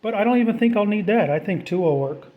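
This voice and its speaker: A man's voice: high-pitched, lively intonation, quick pace, narrow, tinny audio. A man narrating a do-it-yourself project in a casual, off-the-cuff way, thinking aloud informally as he goes. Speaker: DIY vlogger.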